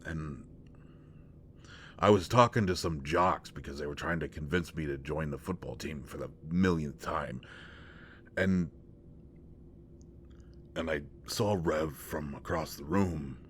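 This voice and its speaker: Man acting a very deep-voiced, hesitant, bashful young guy. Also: unhurried, pauses often, slight background noise. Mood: bashful, reminiscing. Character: shy, awkward young male shapeshifter confessing to a close friend. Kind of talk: intimate roleplay audio drama.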